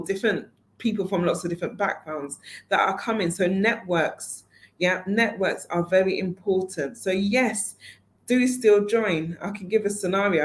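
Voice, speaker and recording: A woman organizing a network event, talking casually to her audience in a conversational way, with many fillers and restarts. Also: fairly low pitch. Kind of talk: casual online talk to an audience.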